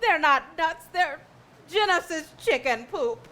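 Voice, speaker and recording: A woman's voice: high voice, lively intonation, a little slow, heavy background noise. A woman acting a wry, earnest science-fiction heroine in a parody stage musical. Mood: comic. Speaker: musical theatre performer in a staged reading.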